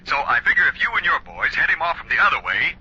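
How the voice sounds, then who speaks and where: An actor playing a brisk, no-nonsense sheriff heard through a telephone filter in a 1950s radio drama. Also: male voice, fairly high pitch, sing-song, bright, forceful tone, breathy edge, dry recording, exaggerated voice. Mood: urgent. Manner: brisk, businesslike. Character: urgent, no-nonsense Western sheriff. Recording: tinny, muffled phone effect on old radio.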